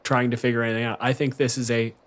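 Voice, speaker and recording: A man's voice: medium pitch, level tone, fast, a bit bright. A man co-hosting a podcast as game master, talking casually about the play. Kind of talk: casual tabletop game commentary.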